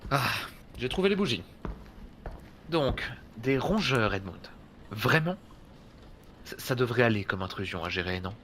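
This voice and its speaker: An actor playing a brooding adventurer in an audio drama, with a laconic, dry, sardonic way of talking. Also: male voice, mid-range voice, very animated, a little slow, long pauses, fairly bright tone, slight background noise. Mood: dry, wry. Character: brooding, wry male adventurer, master of the house.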